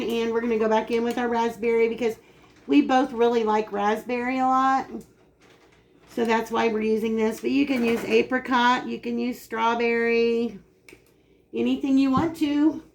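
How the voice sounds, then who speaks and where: Raspy woman chatting while cooking. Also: on the high side, a little hiss or hum. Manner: chatty, explains steps while working. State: dry, raspy throat. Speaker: cooking channel host. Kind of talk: casual cooking demonstration. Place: home kitchen.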